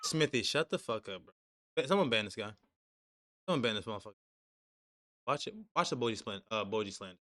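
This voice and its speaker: A man's voice: fairly low pitch, expressive, brisk, pauses often, sharp tone. A young man co-hosting a casual anime discussion stream, profane and loose, reading chat messages aloud and restarting his sentences. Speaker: stream co-host.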